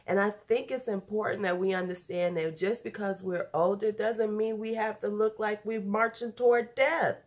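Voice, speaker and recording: A woman's voice: mid-range voice, fairly even intonation, normal speed, hardly any pauses. Congested woman rambling in a home vlog. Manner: chatty, laughing, drifting off topic. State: congested, losing her voice. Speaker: beauty YouTuber.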